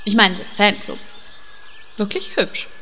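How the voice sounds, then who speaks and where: A woman's voice: medium pitch, steady tone, slow pace, pushed and bright, slight background noise, low-quality recording. A woman voicing a squeamish female adventurer who tries to keep the peace and speaks in a fussy, polite way.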